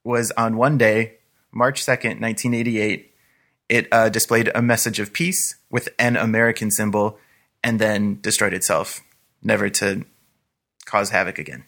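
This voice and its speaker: A man co-hosting a podcast, telling a story in a relaxed, casual way with small hesitations. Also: lowish voice, normal speed, creaky. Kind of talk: casual chat.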